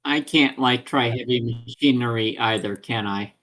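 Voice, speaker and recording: A man of ordinary, middle pitch takes part in a tabletop roleplaying game. He speaks casually as one of the players. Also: moderate pace, a bit bright, rough recording.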